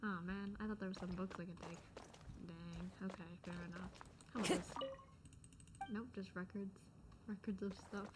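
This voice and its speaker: A woman's voice: medium pitch, steady tone, normal speed, long pauses. A woman doing casual, slangy gameplay commentary in laid-back, muttered asides. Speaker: gamer commentator. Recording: very noisy mic with clicking. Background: loud noise, clicks.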